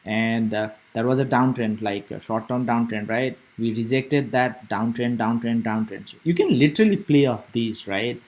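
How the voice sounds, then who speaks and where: A man's voice: medium pitch, moderate pace, few pauses, a little mellow. A young man from Nepal, giving casual live commentary on stock charts and rambling aloud with many fillers and restarts. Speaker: stock trading streamer.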